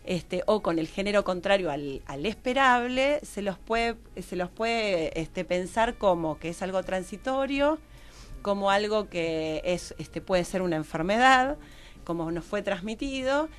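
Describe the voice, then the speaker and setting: A woman's voice: medium pitch, expressive, measured pace. A woman with an Argentine accent explaining as a radio interview guest, searching for her phrasing and often checking with tag questions. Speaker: interview guest, psychologist and university teacher.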